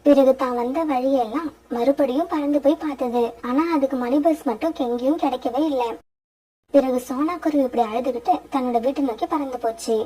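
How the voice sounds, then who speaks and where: High-pitched woman storyteller. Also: a little fast, warm tone, breathy edge, rough recording. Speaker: narrator. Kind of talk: scripted children's story narration.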